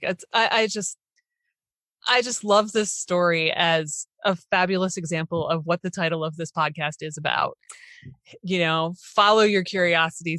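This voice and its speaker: A woman's voice: fairly low pitch, fairly bright tone, no echo. A woman hosting a conversational interview, speaking in an affirming, encouraging manner. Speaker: podcast host, interviewer.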